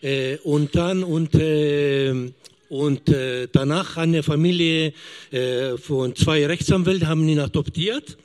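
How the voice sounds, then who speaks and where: A man in his fifties, a missionary giving a halting testimony as a church guest and often searching for words. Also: fairly high pitch, a little mellow. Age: 50s.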